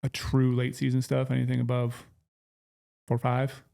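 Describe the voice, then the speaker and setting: Man, podcast co-host, gravelly voice, medium pitch, easygoing plain explanations. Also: completely flat delivery, moderate pace, mellow. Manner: easygoing, explains plainly, short agreeing replies. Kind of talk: casual conversational podcast.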